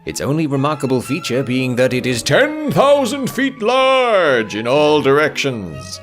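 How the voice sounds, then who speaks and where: Animated male video essayist with sardonic flair. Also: mid-range voice, a little slow, soft background music. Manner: theatrical, sardonic emphasis. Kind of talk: scripted video essay commentary.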